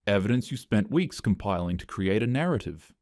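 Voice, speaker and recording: An angry, defiant woman HR manager, read out by a man in his own low voice. The delivery stays dry and even, close and unadorned, with a low pitch that barely bends for her. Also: a little fast, no room sound.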